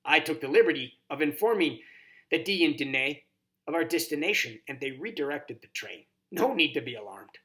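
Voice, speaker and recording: A man's voice: on the high side, expressive, moderate pace, sharp tone. A man voicing a wise horned toad mentor in a children's storybook, grave and instructive with somber warnings. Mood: calm, reassuring. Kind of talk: audiobook character reading.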